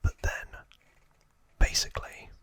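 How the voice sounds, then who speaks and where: A man whispering an ASMR trivia recap in a breathy voice, informal and a little hesitant, as if recalling it from memory. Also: a little slow, pushed and bright, no echo. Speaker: ASMR host.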